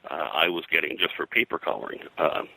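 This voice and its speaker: An older American man reminiscing as an interview guest, in a halting, conversational way full of verbal filler. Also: very deep voice, animated, gravelly, sharp tone, no room sound, poor audio. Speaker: interview guest, veteran comics colorist.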